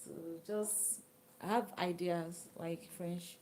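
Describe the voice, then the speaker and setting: Slow-talking Nigerian woman chatting to viewers. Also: on the low side, fairly even intonation, a little hiss or hum, rough recording. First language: Yoruba. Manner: chatty, often drops to a soft whisper. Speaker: livestream host. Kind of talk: casual live chat reading comments.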